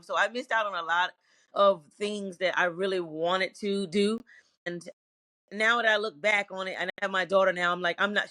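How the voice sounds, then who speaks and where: A middle-aged woman from Virginia talks through her career in an earnest, reflective way, often circling back to restart a sentence. Her voice is bright and forward. The sound is dry and close. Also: mid-range voice, dry recording. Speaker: singer, interview guest. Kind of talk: candid interview answers.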